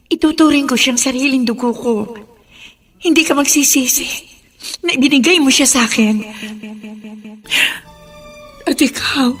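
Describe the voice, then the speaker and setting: Radio drama: possessive widowed mother, very high voice, earnest and emotional, music underneath. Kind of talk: Filipino radio drama acting.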